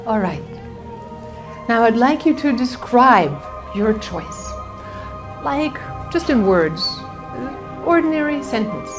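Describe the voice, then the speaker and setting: A woman with a fairly high voice speaks as a workshop teacher. She tells anecdotes in a storytelling manner and gives direct, motivational guidance to her listeners, with some music in the recording. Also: slow pace, pauses often. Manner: animated storytelling, addressing the audience directly. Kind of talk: motivational workshop talk with anecdotes and guided exercise.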